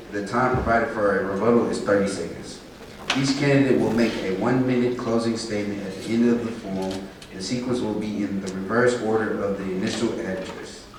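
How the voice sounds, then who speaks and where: A fairly low-voiced man reads the forum rules in a measured, formal manner. He is heard from a distance in a large echoing room. Steady room noise sits under his voice. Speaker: forum moderator. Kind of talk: reading forum rules aloud. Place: civic center event room. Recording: echoey hall, far mic, room noise.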